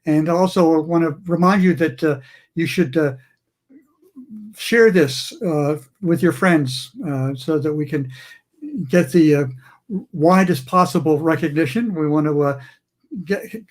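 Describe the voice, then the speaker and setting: A man hosting an online music interview show, speaking haltingly with many fillers. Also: on the high side. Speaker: host.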